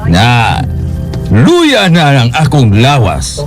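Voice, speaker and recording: A man's voice: mid-range voice, huge pitch swings, very slow, over music, exaggerated voice. An actor playing a frail, solemn old grandfather in a Filipino radio drama. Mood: solemn, weary. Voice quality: creaky.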